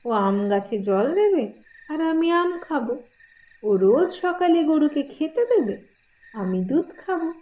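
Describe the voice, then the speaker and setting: Woman playing a high-pitched, scheming elder sister. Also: very animated, unhurried, lo-fi sound. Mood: gloating. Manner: sly, coaxing, theatrical. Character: cunning, bossy elder sister, a girl scheming gleefully. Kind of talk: cartoon voice acting in a moral tale.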